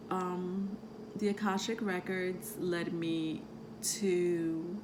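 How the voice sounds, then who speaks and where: A woman with a soothing voice, who grew up outside the United States, talking about astrology to her viewers in a meandering way full of ums and soft laughs. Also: lowish voice, background noise. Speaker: tarot reader and astrology vlogger. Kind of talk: casual rambling talk to camera.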